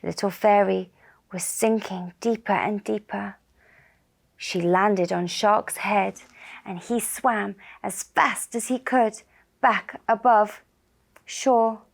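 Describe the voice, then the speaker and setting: A woman telling a children's puppet story in a gentle, deliberate and soothing manner. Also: medium pitch, fairly even intonation, creaky. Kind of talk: slow narrated children's story.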